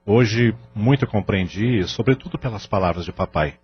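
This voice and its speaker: A man's voice: lowish voice, moderate pace, husky. Man acting earnest family father, muffled sound. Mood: grateful, earnest. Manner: formal, earnest, soothing. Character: grateful, earnest family father, humble and reassuring. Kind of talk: audio drama acting. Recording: dull audio with faint hum.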